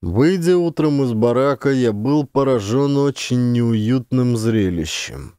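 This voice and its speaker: A man's voice: mid-range voice, expressive, slow pace, dark tone, clean tone, dry recording, studio quality. A man reading a literary memoir as a scripted audiobook narration.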